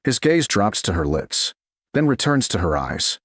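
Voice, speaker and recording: A male audiobook narrator reading dramatic romance. His voice is husky and fairly low, with very expressive pitch movement and short, deliberate phrasing. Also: dry recording, clean studio sound.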